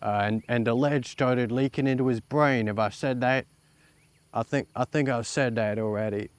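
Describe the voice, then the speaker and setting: A man's voice: mid-range voice, steady tone, normal speed, noisy background, natural voice. A man playing a chatty, apologetic Old West townsman with a put-on western accent, coughing and getting sick, who keeps calling people partner. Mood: rambling. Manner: rambling, calls people partner. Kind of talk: roleplay in a western game.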